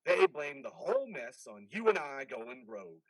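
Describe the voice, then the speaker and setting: A man's voice: mid-range voice, sing-song, normal speed, no echo. A man playing the stern ghost of a dead army officer in a dramatic play reading, domineering and manipulative. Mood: bitter, domineering.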